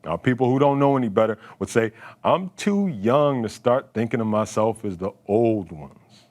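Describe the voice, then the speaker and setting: A man in his late thirties from Philadelphia, a poet telling his story in a deliberate, dramatic spoken-word performance. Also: mid-range voice, expressive, a little mellow. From Philadelphia, United States. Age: late 30s. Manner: dramatic, deliberate, emphatic phrasing.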